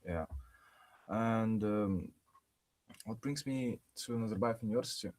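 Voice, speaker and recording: Young male student from Yakutia; lowish voice, relaxed and rambling, many fillers, video call. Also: measured pace. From Yakutia, Russia. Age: young adult. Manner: relaxed, filler-heavy with frequent "like". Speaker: undergraduate student. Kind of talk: casual self-introduction.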